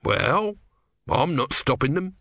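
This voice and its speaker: A man's voice: mid-range voice, sing-song, slow pace, creaky, bright, forceful tone, no room sound, clean studio sound, pitched above the performer's own voice, full character voice. A man voicing a grumpy small god trapped as a tortoise, evasive and sardonic, in a storybook reading. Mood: casual, sheepish.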